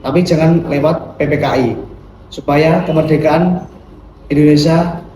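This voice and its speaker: A man's voice: a little high, fairly even intonation, unhurried, a crowd in the background, natural voice. A man acting an earnest, insistent young Indonesian independence activist in formal historical dialogue. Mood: urgent. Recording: echoey room, distant mic, faint noise.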